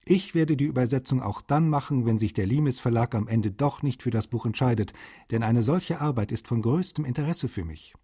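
A man's voice: mid-range voice, a little fast, dark tone, husky. An actor playing a cordial literary translator, reading his letters aloud in a radio documentary. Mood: cordial, frank.

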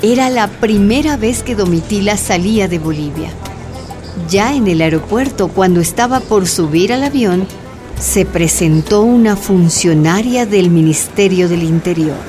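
A female narrator delivers scripted storytelling for a radio play. Her pitch is average, and music plays clearly behind her. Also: expressive, unhurried.

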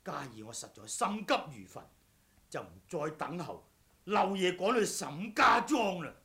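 An actor playing a proud, vengeful martial-arts swordsman in a radio drama, in a theatrical, deliberate manner. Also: high voice, sing-song, pushed and bright, heavily acted. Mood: regretful, urgent. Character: vengeful wuxia swordsman, relentless, grimly proud. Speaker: audiobook voice actor.

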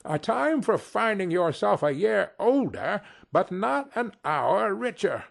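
Storybook miser, played by a male narrator: old, scornful, indignant, dismissive, with a gravelly, animated delivery. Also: high voice, slow, pitched above the performer's own voice, full character voice. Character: grumpy, miserly old uncle, sour and scornful.

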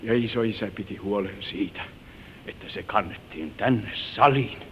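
Actor as gentle old grandfather, tinny radio recording. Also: fairly low pitch, a little hiss or hum, natural voice. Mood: reminiscing. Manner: tender, wistful, moralizing, slightly solemn. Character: gentle old grandfather telling his life story to granddaughter. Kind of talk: old-time radio drama acting.

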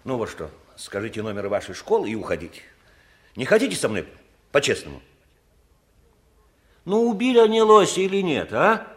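Teasing, animated police lieutenant. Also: male voice, medium pitch, moderate pace, long pauses. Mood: coaxing. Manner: informal, coaxing, gently ironic. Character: good-natured, teasing police lieutenant on duty. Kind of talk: 1960s Soviet radio play acting.

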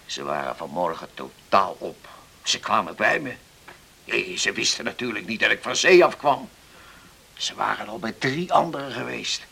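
A husky, gravelly seaman in a radio play, blunt and stubborn yet good-hearted. His voice has a forceful edge, and he talks in plain, gruff phrases. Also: fairly low pitch, expressive, moderate pace, full character voice. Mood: weary, grave. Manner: gruff, hesitant, plain-spoken. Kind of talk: radio drama dialogue.

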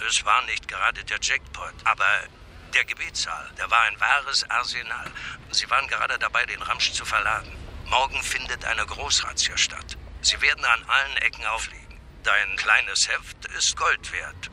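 An actor playing a matter-of-fact police officer in a radio crime drama, wry and slangy. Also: male voice, mid-range voice, moderate pace, pushed and bright, slight background noise. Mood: businesslike.

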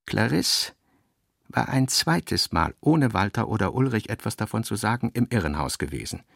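A man with speech reads literary narration for a radio play in a measured, articulate manner. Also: fairly low pitch, lively intonation, gravelly, a bit bright, husky, no room sound, clean studio sound.

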